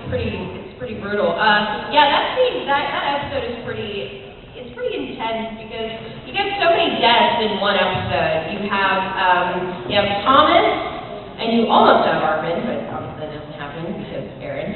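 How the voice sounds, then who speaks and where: A woman's voice: medium pitch, big pitch swings, normal speed, a crowd in the background. A woman voice actor chatting casually as a panelist at a convention, recorded from far off in a big hall with thin sound. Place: large convention hall, indoors. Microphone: table microphones heard through far camera. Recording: distant, echoey hall, thin phone-like sound.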